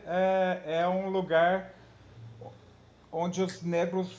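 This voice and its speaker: A man's voice: sits high, little pitch movement, drawn-out words, a little mellow, highs cut off. A man, a professor and author, explaining thoughtfully in conversation, hesitating with many fillers and restarts.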